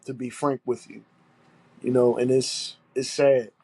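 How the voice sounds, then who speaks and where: A man's voice: mid-range voice, level tone, unhurried, vocal fry, a little mellow, breathy edge, poor audio. A man with a stuffy nose, hosting a solo podcast and talking in an aggrieved, emphatic way, repeating his points and swearing. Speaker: podcast host.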